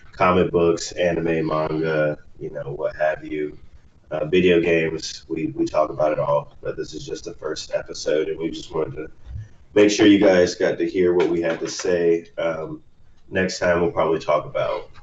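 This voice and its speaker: A man's voice: a bass voice, steady tone, moderate pace, a little mellow. A man co-hosting a casual podcast, laid-back, wrapping up the episode. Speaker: podcast co-host. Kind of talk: casual chat. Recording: distant, roomy, muffled, noisy.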